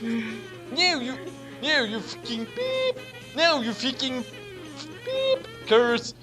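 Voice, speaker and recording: Animated, unhurried reader with wide swings in pitch. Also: over loud music, poor audio. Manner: stumbling over garbled text, repeating phrases. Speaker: reader of a parody fan fiction. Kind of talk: comedic dramatic reading of badly written fan fiction.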